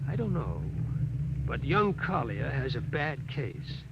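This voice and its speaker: An actor playing a wry, opinionated ship's doctor with an exasperated manner, in a 1950s radio drama style. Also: male voice, medium pitch, big pitch swings, takes it slowly. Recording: dull, noisy vintage radio recording.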